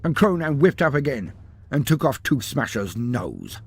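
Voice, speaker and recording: A man delivering dramatic scripted narration of a fantasy battle story, theatrical, with deliberate pauses and emphasis. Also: medium pitch, big pitch swings, vocal fry, breathy edge, dry recording, soft background music.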